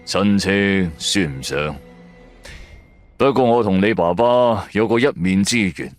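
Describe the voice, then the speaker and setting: A man voicing a menacing, unhurried old tomb hunter in a Cantonese radio drama, speaking slowly and with measured command. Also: sits low, faint music behind, voice pushed down. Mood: cryptic, unhurried.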